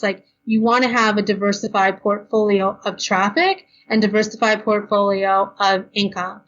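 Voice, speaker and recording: A woman guest on an interview, talking casually and quickly with lots of fillers, tired and a bit hoarse. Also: medium pitch, fairly bright tone, muffled recording. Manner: chatty, fast, lots of ums and you knows. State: tired, losing her voice. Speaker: blogger guest. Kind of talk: podcast interview, casual advice.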